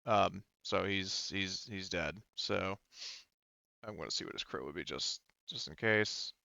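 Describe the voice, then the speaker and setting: Rambling man, smooth dry lo-fi voice. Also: deep voice, measured pace, pushed and bright, no echo. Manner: rambling, talks in broken-off phrases with frequent 'like' and 'you know'. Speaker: game master. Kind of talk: explaining tabletop game rules and narrating combat.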